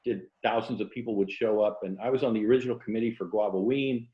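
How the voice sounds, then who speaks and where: A man's voice: fairly low pitch, steady tone, fast talker, reverberant, lo-fi sound. A middle-aged or older man from Florida talking casually and reflectively as an interview guest, telling stories about his own life. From Tampa, Florida.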